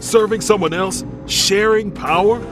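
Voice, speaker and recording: An actor playing a steady, brave superhero leader in a video game, firm and earnest in delivery. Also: male voice, sits high, measured pace. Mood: firm, challenging. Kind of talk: video game hero acting. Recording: music behind the voice.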